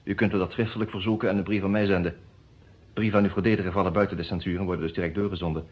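An actor in a Dutch radio detective drama playing a composed, businesslike defence lawyer with a measured, formal manner. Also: male voice, deep voice, steady tone, husky. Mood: businesslike, reassuring. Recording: muffled old radio play recording.

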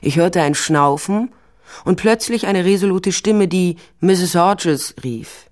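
An actress playing a determined, wry private investigator, narrating a crime drama in the first person, dry and matter-of-fact. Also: female voice, on the low side, slow pace, darkish tone. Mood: tense, matter-of-fact. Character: resolute, wry female private investigator narrating her case.

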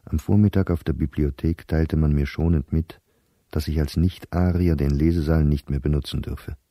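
A middle-aged scholar setting down his diary in a radio drama. His voice is very low, dark and mellow, recorded close and dry. He speaks in measured, articulate phrases with an embittered, sardonic edge and a watchful eye for detail. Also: steady tone, no room sound. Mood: weary, bitter.

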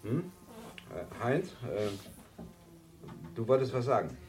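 Slow-spoken wry male lecturer. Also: medium pitch, very animated, background noise. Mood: deliberate, pondering. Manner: hesitant, deliberate, dryly ironic. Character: Lars, measured, wry male university lecturer leading a seminar. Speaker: seminar lecturer. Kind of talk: radio drama dialogue.

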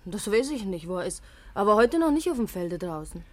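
An actress playing an anxious, innocent young village girl, a little sister in a German radio play, with a breathy voice. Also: mid-range voice, very animated, brisk, no echo. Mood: curious.

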